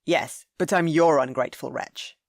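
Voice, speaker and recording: A woman's voice: low-pitched, moderate pace, no room sound, studio quality. A woman voicing a forthright young duke, blunt and earnest.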